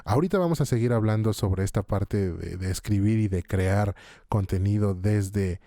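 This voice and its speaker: Mellow, low-voiced man hosting a podcast. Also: normal speed, no echo, studio quality. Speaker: podcast host, interviewer. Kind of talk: casual podcast interview.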